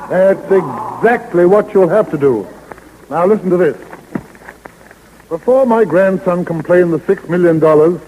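Formal 1930s radio lawyer, high-voiced actor's part. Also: male voice, dark tone, natural voice. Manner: deliberate, formal, precise. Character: fussy, formal male lawyer reading out a will. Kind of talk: 1930s radio comedy acting. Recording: 1930s radio recording, long reverb.

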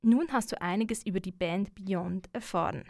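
A woman presenting a community radio feature in a clear, measured way. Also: mid-range voice, darkish tone, no echo, clean studio sound. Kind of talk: scripted radio narration.

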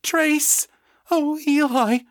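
A man's voice: extremely high pitch, fairly even intonation, drawn-out words, no echo, clean studio sound, pitched above the performer's own voice, exaggerated voice. A man voicing a distraught woman soldier with telepathy, urgent and pleading, in an audiobook. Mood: anguished. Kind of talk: audiobook character acting.

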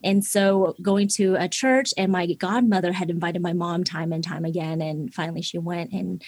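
A woman in her forties from Seattle, openly telling her personal faith story as a podcast guest, earnest and quick to laugh. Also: lowish voice, fairly even intonation, darkish tone, dry recording. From Seattle, Washington, USA. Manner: open and earnest, laughs easily, addresses listeners as guys. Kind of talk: candid personal testimony.

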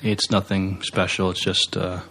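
A man with a fairly low, creaky voice co-hosts a podcast. His talk is casual and a little halting, with phrases restarted as he goes. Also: flat delivery, bright, forceful tone, slight background noise. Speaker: podcast co-host. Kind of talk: casual unscripted podcast chat.

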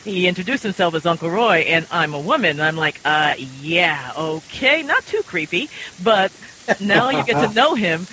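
A woman's voice: lowish voice, lively intonation, moderate pace, pushed and bright, slight background noise, low-quality recording. A chatty, rambling woman hosting a casual panel chat. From New York, USA. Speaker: podcast panel host.

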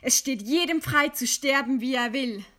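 A woman's voice: high-pitched, normal speed, pushed and bright. An actress playing a proud, defiant condemned lady in a radio play, with a haughty, formal and composed delivery. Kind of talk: radio play acting, stylised literary dialogue.

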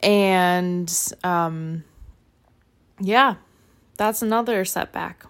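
A woman speaking calmly and evenly into her phone, reflective and trailing off at times. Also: fairly low pitch, extremely slow, clean tone. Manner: calm, even-keeled, trails off. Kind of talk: reflective personal monologue.

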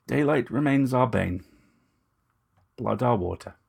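A man's voice: medium pitch, lively intonation, unhurried, gravelly, breathy edge, pitched above the performer's own voice, exaggerated voice. A man voicing a corpulent, genteel vampire in an audio story, unctuous, formal and menacing. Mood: grandiose, menacing. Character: corpulent, genteel, predatory male vampire with ragged breath.

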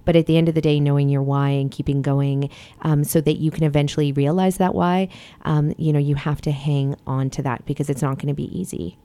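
A woman's voice: low-pitched, little pitch movement, brisk, dark tone. A woman hosting a podcast as a health coach, talking earnestly and passionately in casual conversation, with many fillers.

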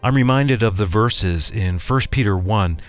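A man's voice: lowish voice, measured pace, soft background music. A man co-hosting a radio program, reading a scripted introduction as the announcer.

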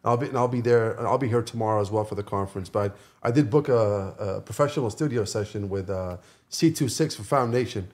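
A man's voice: lowish voice, quick pace, darkish tone. A man who is a podcast guest and rapper, chatting casually and informally in a studio, often repeating his phrases as he goes.

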